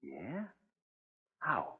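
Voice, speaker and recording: An actor playing an undercover sleuth who poses as an admiring fellow convict, in 1940s radio crime drama style. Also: male voice, lowish voice, huge pitch swings, extremely slow, slightly rough, full character voice. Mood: flattering. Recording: dull vintage radio recording.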